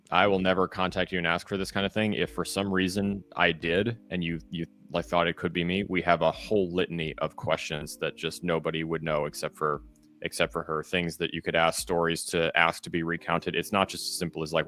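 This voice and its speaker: Low-voiced guy on a podcast, bright edgy tone, kinda monotone, um-heavy advice, faint music under it. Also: fairly quick. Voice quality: relatively monotone voice. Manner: practical, advice-giving, with frequent ums and you-knows. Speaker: co-host. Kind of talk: casual podcast chat.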